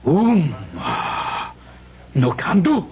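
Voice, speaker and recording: Big fearful island barman, pidgin english, slow, dull old radio sound. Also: fairly high pitch, huge pitch swings, exaggerated voice. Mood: fearful, foreboding. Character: enormous, superstitious island barman, servile and fearful. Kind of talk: old radio drama acting. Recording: muffled old radio recording.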